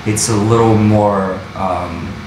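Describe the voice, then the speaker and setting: A man's voice: fairly low pitch, steady tone, slow pace, background noise. Man musing in an echoey room. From Bulgaria. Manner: thoughtful, searching for words, frequent restarts. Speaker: actor and translator on a panel. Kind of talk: panel discussion answer. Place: wood-panelled university room, audience present.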